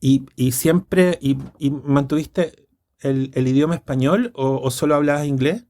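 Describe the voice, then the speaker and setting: A man's voice: a little high, unhurried, mellow. A man with a Chilean accent hosting a podcast interview, upbeat and chatty, often stumbling on repeated words. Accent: Chilean Spanish. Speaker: podcast host.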